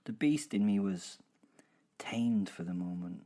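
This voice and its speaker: Male actor as a werewolf narrator, wryly sentimental yet menacing; low-leaning voice, unhurried, halting dramatic pauses. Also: expressive. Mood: hushed awe. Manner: halting, repeats words, hushed dramatic pauses. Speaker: narrator of a horror audio drama. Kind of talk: dramatic first-person storytelling.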